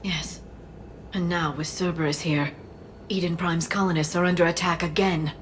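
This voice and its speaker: Female actor as alien scholar, slightly low soft voice, measured, solemn, faint ambience, video game. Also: steady tone, slight background noise, natural voice.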